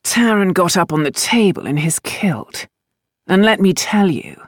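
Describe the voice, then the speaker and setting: Woman playing a languid, droll young gentleman. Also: on the low side, expressive, no echo, studio quality. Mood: amused. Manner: droll, unhurried, faintly amused. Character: dry, languid, teasing aristocratic man, penniless idler.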